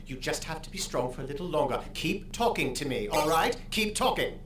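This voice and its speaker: A woman's voice: deep voice, lively intonation, a little fast, echoey room, a little hiss or hum. A woman playing a protective, desperate companion in an audio drama, urgent, emotional and pleading with a wounded friend.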